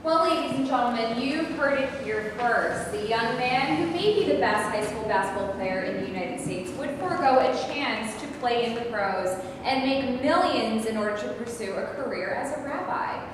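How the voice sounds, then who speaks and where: A woman plays a chirpy, probing TV reporter in a stage play. Her high, very lively voice has a presentational manner and carries from across an echoing hall. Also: normal speed, few pauses, close to an everyday voice. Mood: announcing. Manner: animated, presentational. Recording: very echoey hall, distant mic, noisy.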